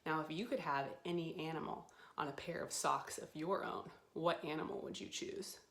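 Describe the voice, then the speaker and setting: A woman hosting a storytime for young children, talking to them in an animated way with simple questions and encouragement. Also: low voice, moderate pace, creaky. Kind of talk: children's storytime presenting to camera. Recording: roomy, echoey sound.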